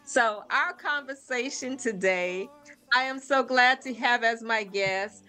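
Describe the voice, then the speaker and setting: A woman's voice: on the high side, slow, pushed and bright, clear, resonant tone. A woman from Brooklyn hosting a faith-based podcast conversation, affirming her guest as she talks. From Brooklyn, New York. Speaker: podcast host.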